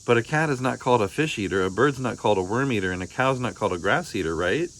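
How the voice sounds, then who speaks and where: A man's voice: medium pitch, lively intonation, quick pace, fairly bright tone, slight background noise, voice pushed up. A man voicing a stubborn, questioning young anteater boy in a children's picture book read-aloud. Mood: insistent, stubborn. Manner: insistent, questioning.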